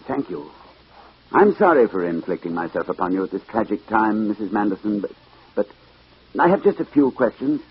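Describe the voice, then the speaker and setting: An actor playing a troubled gentleman detective who narrates his own case in formal, measured, hesitant old radio-drama style. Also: on the low side, sing-song, husky, full character voice. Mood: polite, probing. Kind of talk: 1940s-50s radio acting, first-person narration. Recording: dull old radio recording with music.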